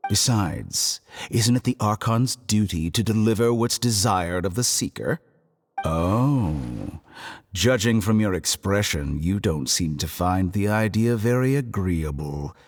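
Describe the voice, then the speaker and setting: A deep-voiced game villain who swoops through his phrases. He is smug and scheming, a scholar who takes his time and treats every exchange as a courteous bargain. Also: expressive. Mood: sly, bargaining. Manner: smug, deliberate, mock-courteous. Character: scheming, arrogant, unhurried man, a foreign harbinger and scholar. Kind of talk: video game voice acting, theatrical dialogue.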